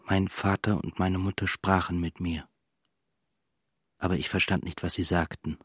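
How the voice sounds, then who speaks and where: A man's voice: low voice, fairly even intonation, normal speed, fairly bright tone, breathy edge, no echo, clean studio sound. An actor playing an ashamed, estranged son who recalls his family as narrator of a radio drama, brooding and restrained. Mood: detached recollection.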